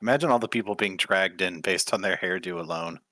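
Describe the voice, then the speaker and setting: A man playing in a tabletop game, joking and talking in character with a sarcastic, expressive manner. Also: sits low, fairly quick, no room sound. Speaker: player. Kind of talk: in-character tabletop play and banter.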